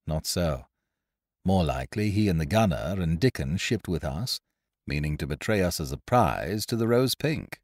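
A man's voice: sits low, a little mellow, dry recording, clean studio sound. A man voicing a reckless, jovial male sea adventurer who speaks in swaggering, old-fashioned seafaring talk. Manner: swaggering, archaic seafaring speech.

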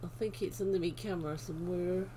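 A British woman chatting casually as she livestreams a game, unhurried and half-absorbed, her voice slightly going. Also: on the low side, fairly even intonation, warm tone, slight background noise. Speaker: livestream host. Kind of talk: casual livestream chat while gaming. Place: indoor room at home.

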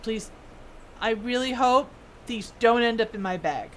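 A woman's voice: on the high side, measured pace, fairly bright tone, slight background noise. A woman doing casual live gameplay commentary, musing half to herself. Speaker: game streamer.

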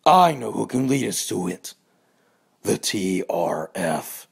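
A man voicing a tough, brash robot villain with a rough, gravelly voice for a cartoon audition. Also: on the low side, very animated, slow, exaggerated voice. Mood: grim.

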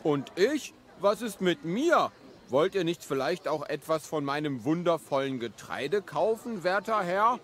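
Naive village seller, played by a man for audio storybook: unhurried, earnest, animated, slightly high. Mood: eager. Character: simple, eager male villager selling his goods.

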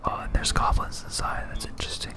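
Whispering ASMR gamer over music. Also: brisk. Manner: casual, rambling, thinking aloud. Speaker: host of a gaming series. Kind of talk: whispered ASMR gameplay commentary.

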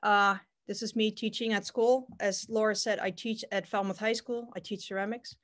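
A middle-aged woman from the Netherlands with a Dutch accent, a ceramics teacher introducing her work over a video call in a plain, steady way with many fillers. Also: mid-range voice, moderate pace.

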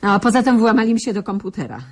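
A woman's voice: mid-range voice, expressive, very fast, crowd noise, natural voice. An actress playing a haughty, indignant woman doctor in a radio drama, exclamatory and agitated.

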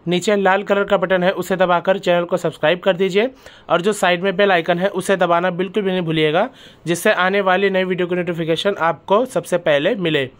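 A man's voice: high voice, clear, resonant tone. A man hosting his own channel, walking through a factory and explaining each step, often calling out to viewers as friends. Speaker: channel host. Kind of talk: factory tour vlog narration.

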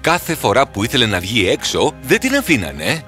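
A man narrating a scripted fairy tale in a dramatic, expressive manner. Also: mid-range voice. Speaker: storyteller. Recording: music under the voice.

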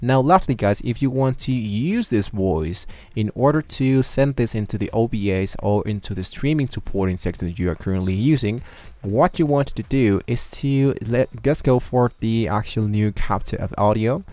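Low-voiced man rambling through a tutorial. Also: moderate pace, warm tone, dry recording. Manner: loose, rambling, many fillers. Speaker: tutorial host. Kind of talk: screen-recorded software tutorial. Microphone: desktop microphone at a computer.

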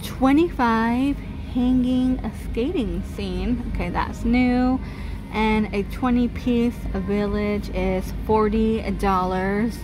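Woman vlogger, slow and chatty, fairly high voice, phone mic, store music behind. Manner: chatty, reads out prices, laughs softly. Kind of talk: casual shop-with-me walkthrough. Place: large retail store, indoors. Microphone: handheld phone. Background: holiday music playing in the store.

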